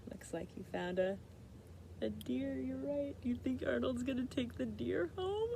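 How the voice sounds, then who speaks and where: Animated woman reading aloud to children. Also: high-pitched, moderate pace, creaky, a little hiss or hum. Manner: conversational, asks listeners questions. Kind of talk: casual interactive read-aloud chat.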